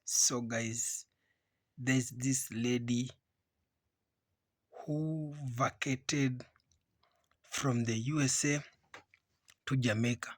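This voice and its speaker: A man's voice: mid-range voice, fairly even intonation, drawn-out words, pauses often, no echo. A man hosting a reaction channel, opening the video and calling on viewers as guys. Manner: repetitive, addresses viewers as guys. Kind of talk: scripted video intro.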